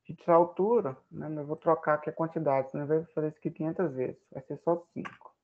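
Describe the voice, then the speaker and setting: A man's voice: fairly high pitch, fairly even intonation, fast, narrow, tinny audio. A man walking through a program as he runs it, thinking aloud and checking with the class. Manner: thinks aloud while typing inputs, frequent "né?". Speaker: student presenting code. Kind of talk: live coding walkthrough.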